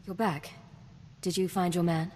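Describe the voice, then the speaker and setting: An actress playing a composed, businesslike imperial agent in command, direct and matter-of-fact, in video game dialogue. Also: female voice, lowish voice, little pitch movement, measured pace, mellow, long reverb tail, a little hiss or hum, natural voice.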